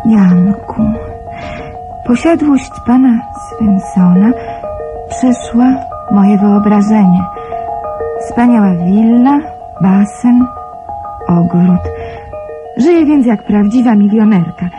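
An actress playing a polite, trusting young woman pharmacist in a radio drama, soft-spoken, courteous and earnest. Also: mid-range voice, slow, long pauses, over music, close to an everyday voice. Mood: wistful, admiring.

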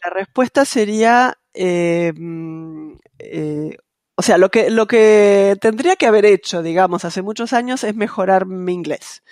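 A woman's voice: medium pitch, measured pace, darkish tone, no room sound, clean studio sound. A middle-aged Argentine woman, a translator and interpreter, talking through an interview at a thoughtful pace with many fillers, over a video call. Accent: Argentine Spanish, softened by years abroad. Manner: thoughtful, many hesitations and fillers, light laughs. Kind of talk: casual interview conversation.

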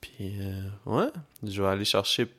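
A young man with a Québécois French accent, co-hosting a podcast in a relaxed, casual chat and telling stories with English slang mixed in. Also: on the low side, unhurried. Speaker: podcast co-host.

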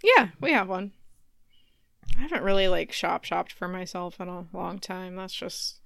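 A woman's voice: lowish voice, normal speed, vocal fry, fairly bright tone, dry recording, studio quality. A woman in her thirties chatting casually and telling stories with wry humor as a podcast co-host. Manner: wry, laughs often.

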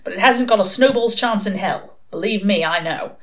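A woman's voice: mid-range voice, moderate pace, natural voice. A woman voicing an irritable, worldly male demon in a storybook reading, blunt, flippant and sardonic. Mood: exasperated.

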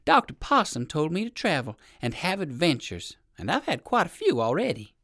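A woman voicing a timid, polite old gentleman rabbit in a storybook, fretful and courteous. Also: deep voice, sing-song, normal speed, no echo, studio quality, full character voice.

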